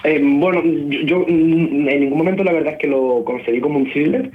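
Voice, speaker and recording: A man's voice: a little high, fairly quick. A young man from Spain, a novelist guest in a radio interview, chatty and self-mocking, often restarting his sentences. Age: young adult. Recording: muffled radio broadcast.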